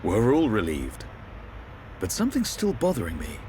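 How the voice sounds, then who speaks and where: An actor playing a thoughtful young adventurer in a video game, earnest and inquisitive. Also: male voice, fairly low pitch, wildly swooping pitch, normal speed, warm tone, slight background noise. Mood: puzzled. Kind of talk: dramatic video game cutscene acting.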